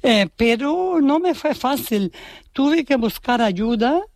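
Slow, reflective woman. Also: high voice, expressive, darkish tone, no room sound. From Brazil. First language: Portuguese. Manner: slow, reflective, often ends thoughts with "¿no?". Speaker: poet and writer, guest. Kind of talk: radio interview conversation.

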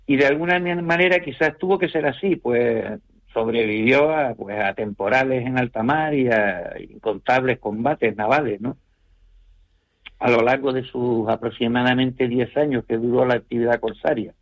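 Measured novelist on muffled radio. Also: male voice, medium pitch. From Canary Islands. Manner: measured, thoughtful, frequent hesitations and tag questions. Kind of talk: radio interview answers.

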